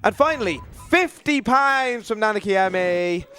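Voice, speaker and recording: A man with a notably high voice hosts a gaming marathon. He presents in an upbeat event-host style. There is light noise with some clicking behind him. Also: expressive, unhurried. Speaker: marathon host reading donations. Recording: noisy live event, clicks.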